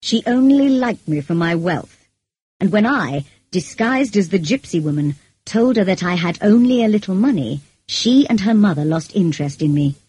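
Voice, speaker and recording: A woman voicing a commanding gentleman master in an audiobook romance, teasing and intense, with a measured, insistent manner. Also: medium pitch, expressive, slightly rough, low-quality recording. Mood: earnest wooing.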